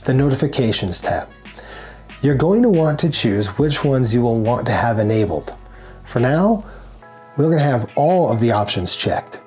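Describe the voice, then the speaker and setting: Guy in his 40s doing a scripted how-to, medium pitch, music going behind him. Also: big pitch swings, moderate pace, a little mellow. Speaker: tutorial presenter. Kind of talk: scripted instructional narration to camera. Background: background music.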